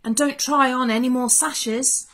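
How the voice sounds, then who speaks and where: A woman's voice: high-pitched, steady tone, a little slow, fairly bright tone, pitched above the performer's own voice. A woman voicing kind, eager, protective little dwarfs with a bustling manner in a storybook. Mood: urgent.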